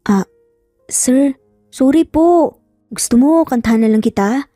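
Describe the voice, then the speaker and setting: A woman's voice: high voice, lively intonation, measured pace, warm tone, no room sound, clean studio sound, soft background music. A woman voicing a playful, cheeky young single mother who teases her boss with mock-dramatic pleading in a narrated story. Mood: teasing.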